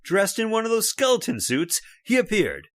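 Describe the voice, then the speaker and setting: A man's voice: sits high, huge pitch swings, moderate pace, a bit bright, dry recording, studio quality, exaggerated voice. An actor playing a stubborn, skeptical middle-aged father in a radio horror drama, scornful and dismissive. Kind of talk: old-time radio drama acting.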